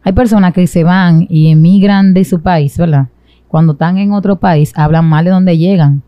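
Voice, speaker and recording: A woman's voice: lowish voice, fairly even intonation, normal speed, no room sound. Young Dominican woman, dry muffled recording. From Dominican Republic. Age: young adult. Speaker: interview guest. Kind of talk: personal storytelling in an interview. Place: small indoor studio set with a sofa. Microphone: boom-arm microphone close to the mouth.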